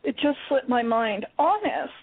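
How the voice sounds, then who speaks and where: A woman's voice: fairly high pitch, big pitch swings, measured pace, husky, dry recording, full character voice. A woman acting a hesitant, defensive young female college student in a radio play. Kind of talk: amateur radio play reading. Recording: tinny phone-line radio broadcast.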